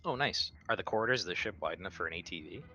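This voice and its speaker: A man's voice: sits low, expressive, rapid-fire, sharp tone, lo-fi sound. A man playing a tabletop roleplaying game in casual chat, blunt and bossy, swearing freely. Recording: music under the voice.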